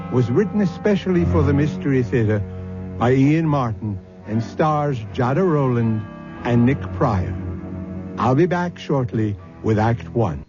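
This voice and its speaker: A man hosting an old radio mystery show, reading scripted narration in a deliberate, theatrical, ominous manner. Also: mid-range voice, lively intonation. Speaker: host and announcer. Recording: dull old radio, music behind.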